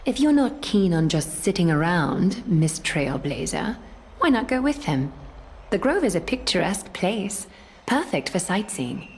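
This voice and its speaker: An actress playing a composed, regal noblewoman leader in a video game, speaking formally and in a measured, gracious way. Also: fairly low pitch, very animated, darkish tone. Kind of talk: scripted video game dialogue.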